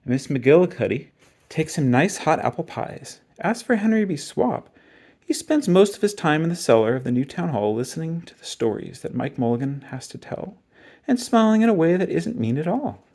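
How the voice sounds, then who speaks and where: A man reading a children's story aloud in an expressive, dramatic and emphatic way. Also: fairly high pitch, moderate pace. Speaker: storybook reader.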